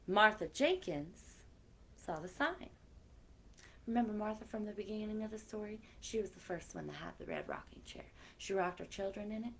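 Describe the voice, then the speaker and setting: A woman's voice: mid-range voice, big pitch swings, normal speed, slight background noise. A woman hosting a children's story time, reading aloud expressively and chatting directly to her young listeners. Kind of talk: animated read-aloud for children with chatty asides.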